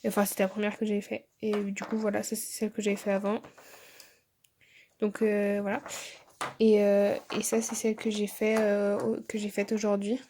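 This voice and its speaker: A young woman, a student, vlogging from her bedroom on her phone, slightly hoarse from a sore throat, rambling with many fillers and restarts. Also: mid-range voice, monotone, normal speed, warm tone, slight background noise. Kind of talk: casual vlog chat. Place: bedroom at home. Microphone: phone held at arm's length.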